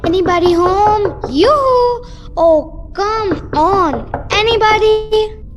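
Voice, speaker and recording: A woman's voice: very high voice, slow. A woman playing a breezy, chatty mother in a radio play. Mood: calling out. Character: breezy, chatty mother Doris looking for her children. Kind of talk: amateur radio play acting.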